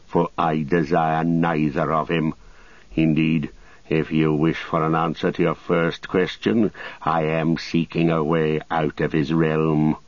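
A man voicing a sneering fallen old wizard reduced to beggary, in a cracked, husky voice with mocking archaic phrasing, as in an audiobook. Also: a bass voice, level tone, a little slow, dry recording, highs cut off. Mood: cold disdain.

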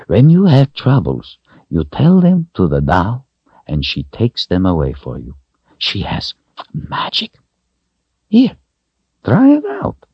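A man voicing a kindly old wizard in a storybook, soft-spoken, gentle and reassuring. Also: on the low side, wildly swooping pitch, slow pace, long pauses, breathy edge, no room sound, lo-fi sound, pitched above the performer's own voice, exaggerated voice. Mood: warm encouragement. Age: older.

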